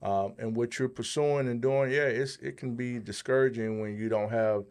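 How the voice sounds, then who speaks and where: A man's voice: medium pitch, steady tone, moderate pace, a little mellow. A man hosting a podcast, talking conversationally and giving advice. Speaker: podcast host.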